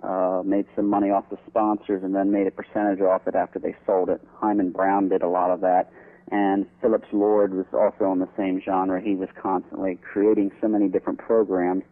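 A man's voice: sits low, little pitch movement, brisk. A man speaking as an interview guest over the telephone, explaining things informally. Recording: narrow, tinny phone line.

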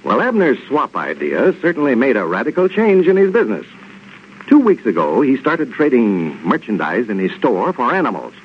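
A man's voice: medium pitch, wildly swooping pitch, normal speed. A man with an American accent announcing a 1930s radio show, reading sponsor copy and scripted narration. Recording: muffled 1930s radio with faint noise.

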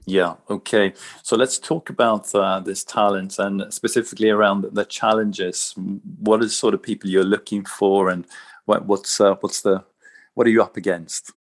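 A man's voice: fairly low pitch, level tone, moderate pace. A man hosting a podcast, introducing his guests and asking questions in a conversational way. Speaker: podcast host. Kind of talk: podcast interview.

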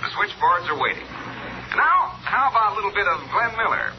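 An actor playing a breezy, chummy evening disc jockey in a 1950s radio drama, with slick, jokey patter. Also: male voice, high-pitched, very animated, brisk. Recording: muffled old radio recording, faint noise.

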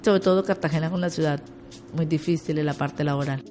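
Earnest upbeat woman with a low voice. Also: steady tone, fast, dark tone, faint music behind. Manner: earnest and upbeat, explains at length. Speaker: interview guest. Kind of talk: conversational interview.

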